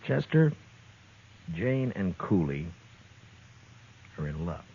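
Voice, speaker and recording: Terse frontier marshal, noisy old recording. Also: male voice, low-pitched, sing-song, takes it slowly, vocal fry, exaggerated voice. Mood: dry, businesslike. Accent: American. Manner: terse, commanding, dry. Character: tough, curt frontier town marshal, middle-aged man. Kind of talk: old-time radio western acting. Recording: muffled, noisy old radio western recording.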